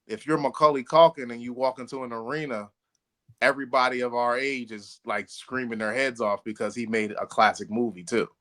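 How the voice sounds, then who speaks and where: Male podcast co-host, mid-pitched voice, casual and emphatic, slangy with some swearing, repeats himself to drive points home. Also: steady tone, fairly quick, pushed and bright. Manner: emphatic, repeats phrases for stress; informal slangy speech with profanity. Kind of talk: casual chat and friendly debate.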